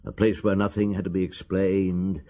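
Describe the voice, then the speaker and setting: An actor playing a calm, probing police chief inspector in a radio drama, dry and measured in his questioning. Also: low voice, lightly acted. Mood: reflective.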